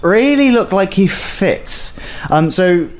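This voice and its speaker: A man's voice: sits high, animated, slow pace, poor audio. A man working as game master, narrating casually and improvising as he goes, feeling out his words. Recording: music playing under the voice.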